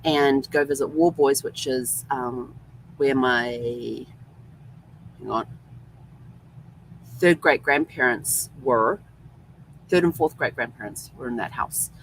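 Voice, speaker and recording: A woman's voice: extremely low pitch, takes it slowly, long pauses, a little hiss or hum, rough recording. A woman hosting a vlog, telling a rambling, chatty travel story full of ums. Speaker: host. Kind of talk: casual travel vlog monologue.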